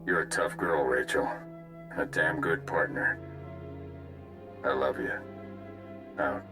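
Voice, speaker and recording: An actor playing a tough, rueful smuggler leaving a grim, confiding log message in a video game. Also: male voice, very deep voice, slow, music in the background. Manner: grim, confiding, plain-spoken. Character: doomed male smuggler pilot, rueful and tough.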